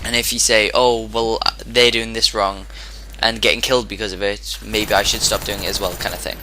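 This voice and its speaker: Man, hoarse sore throat, lowish and very sharp-edged tone, chatty gameplay commentator who rambles and self-corrects. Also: fairly even intonation, fairly quick, a little hiss or hum, rough recording. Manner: rambling, stops and restarts sentences. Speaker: gaming commentator.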